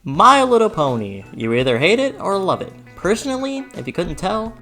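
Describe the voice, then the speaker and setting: A woman's voice: medium pitch, very animated, moderate pace, fairly bright tone. A woman narrating a scripted video essay as host, with a theatrical, sarcastic delivery and exaggerated emphasis. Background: background music.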